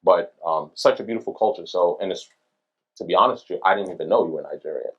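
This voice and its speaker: A man with a Brooklyn accent hosting a casual podcast chat, talking fast and animated with lots of slang. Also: low voice, breathy edge, muffled recording. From Brooklyn, New York. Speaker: podcast host.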